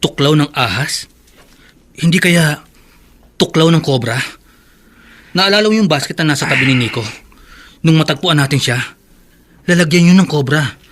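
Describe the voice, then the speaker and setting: A man's voice: a little high, expressive, normal speed, pauses often, fairly bright tone. An actor playing an anxious, urgent young man in a horror radio drama. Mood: worried. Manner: agitated, urgent.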